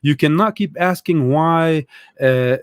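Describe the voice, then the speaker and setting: A man's voice: fairly high pitch, takes it slowly, clean tone, no echo, studio quality. A young adult man from Egypt, a guest telling his story in casual conversation, thoughtful and hesitant with many fillers.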